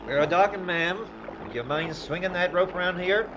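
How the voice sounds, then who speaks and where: A man's voice: high-pitched, moderate pace, lo-fi sound, lightly acted. Actor as reluctant henchman over noisy effects. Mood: apologetic. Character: reluctant, polite male henchman in a kidnapping gang. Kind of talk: 1970s radio drama acting.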